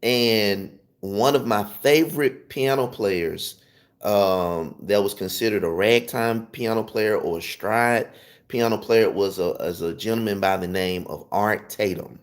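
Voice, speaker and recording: A man's voice: lowish voice, unhurried. A man with a Southern US accent giving an informal music lesson, emphatically repeating and rephrasing his points. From Memphis, Tennessee, southern US. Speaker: music teacher. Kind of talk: informal instructional talk to viewers.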